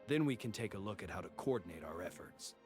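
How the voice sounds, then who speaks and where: A courteous ally in a video game. The voice is fairly low, steady and businesslike, with faint music underneath. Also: male voice, fast talker. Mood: cooperative.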